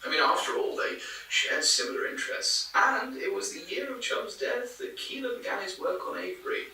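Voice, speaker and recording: An actor playing a chatty, skeptical folklore researcher in a podcast drama, rambling and wry with hesitant stammers. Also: male voice, high-pitched, brisk, sharp tone, distant mic, full character voice. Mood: musing, speculative. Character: chatty, skeptical male folklore researcher musing on old records. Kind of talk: naturalistic interview in a podcast drama. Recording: very echoey room, off-mic, faint noise.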